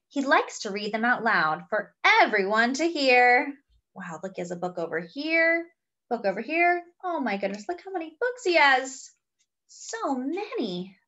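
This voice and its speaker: A woman reading a picture book aloud to young children, with expressive, drawn-out delivery and chatty asides. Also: a little high, dull audio.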